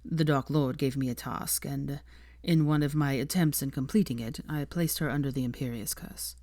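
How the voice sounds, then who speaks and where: A woman's voice: extremely low pitch, steady tone, moderate pace, dark tone, dry recording, studio quality. A woman voicing a guarded, uneasy young man with a cold, terse manner in a story reading. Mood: shaky, reluctant.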